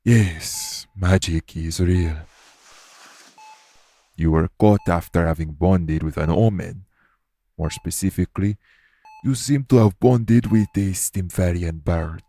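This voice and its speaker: A low, gravelly male official of a secret organization, played by a male voice actor, addresses the listener in an audio roleplay. His tone is dark and mellow, and his delivery is formal, measured and calm. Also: heavily acted. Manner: measured, formal, matter-of-fact.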